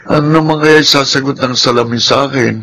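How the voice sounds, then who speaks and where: An actor playing a lovesick, persistent young suitor in a Filipino radio drama, plaintive and earnest. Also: male voice, medium pitch, fairly even intonation, fairly quick, sharp tone. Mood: heartbroken.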